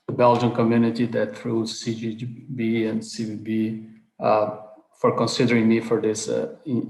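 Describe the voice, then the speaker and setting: A man's voice: medium pitch, gravelly. Hesitant Brazilian-born man lecturing on a video call. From southern Brazil. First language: Portuguese. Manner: earnest, many hesitant uhs and restarts. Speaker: award lecturer, chemist. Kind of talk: online award lecture. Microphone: video call webcam. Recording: roomy video call audio.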